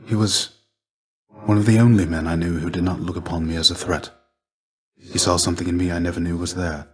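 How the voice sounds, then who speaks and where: A man playing a gentle, melancholy ancient Greek warrior shade and mentor in a video game, speaking in a measured, formal, soft-spoken way. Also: deep voice. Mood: tender, wistful.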